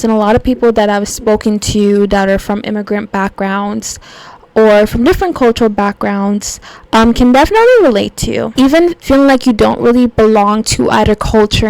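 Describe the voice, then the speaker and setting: A woman's voice: mid-range voice, a little mellow, clear, resonant tone, dry recording, low-quality recording. A young woman hosting a solo podcast, talking in a casual, reflective way with lots of fillers. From United States. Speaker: podcast host.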